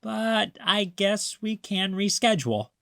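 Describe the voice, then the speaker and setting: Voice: medium pitch, lively intonation, slow, creaky, sharp tone, dry recording, studio quality, voice pushed up, heavily acted. A reader voicing a playful, teasing grandmother in a children's storybook, with a mock-serious, coaxing manner.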